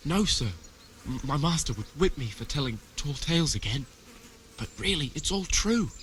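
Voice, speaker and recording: Anxious medieval servant for a game, raised pitch, pleading and servile, slight background noise. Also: male voice, animated, moderate pace, a bit bright. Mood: frightened. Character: frightened, deferential young servant man.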